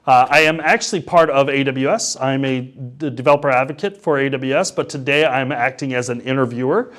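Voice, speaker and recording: A man interviewing guests at a conference, casual and jokey, with quick quips and laughter. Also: mid-range voice, fairly bright tone. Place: conference venue.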